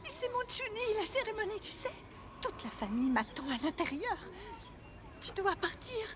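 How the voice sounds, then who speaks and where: A woman's voice: very high voice, sing-song, normal speed, noisy background. A woman playing an anguished young bride in a French film dub, pleading and emotional. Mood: alarmed. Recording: music behind the voice.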